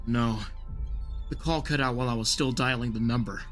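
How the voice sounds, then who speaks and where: A man acting in an audio drama as an earnest, excitable member of a group of friends. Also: mid-range voice, fairly quick, faint music behind, natural voice. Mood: resolved.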